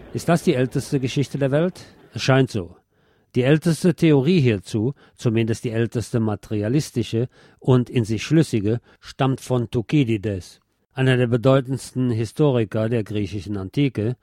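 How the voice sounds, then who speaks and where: Guy reading a serious article out loud, soft dark tone, very dry sound, measured and formal. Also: mid-range voice, no echo. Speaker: reader of an article. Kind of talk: read-aloud political essay, scripted narration.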